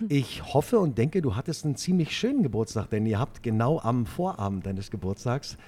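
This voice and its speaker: A man hosts a club podcast interview with a mellow, darker tone and an enthusiastic manner. He stumbles and repeats words as he talks, with faint noise in the background. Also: medium pitch, big pitch swings, normal speed. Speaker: podcast host.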